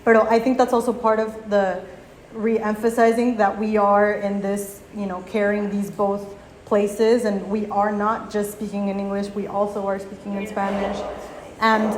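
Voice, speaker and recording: Woman from mexico, mixes english and spanish, flat intonation, echoey room. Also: mid-range voice, takes it slowly. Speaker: panelist artist. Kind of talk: reflective panel discussion. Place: exhibition space, indoors. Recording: echoey room with faint noise.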